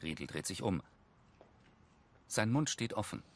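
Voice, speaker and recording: Low-voiced man narrating audio description. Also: very animated, takes it slowly, a little hiss or hum. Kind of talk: scripted narration. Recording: music behind the voice.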